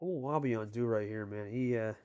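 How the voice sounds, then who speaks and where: A man's voice: lowish voice, moderate pace, warm tone, clear, resonant tone, highs cut off. A man giving live sports-style commentary on a card game as host, talking colloquially and addressing players like buddies.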